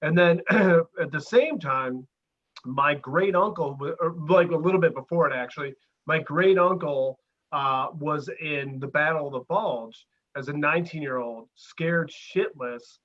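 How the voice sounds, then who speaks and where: Muffled lo-fi remote audio, highish-voiced guy co-hosting, slangy and blunt, casual chat. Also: a little slow, fairly bright tone. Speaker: co-host. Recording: dull lo-fi remote connection.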